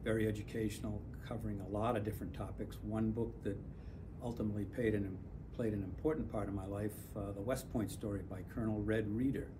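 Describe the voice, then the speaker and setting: Older man, low flat voice. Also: noisy background. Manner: measured, reflective, pauses with fillers. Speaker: retired airline captain. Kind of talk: community testimonial.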